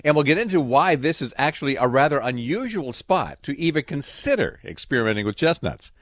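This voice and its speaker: Lively male radio host, dry sound. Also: on the high side, fairly quick, no room sound. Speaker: radio show host and narrator. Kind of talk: scripted radio narration.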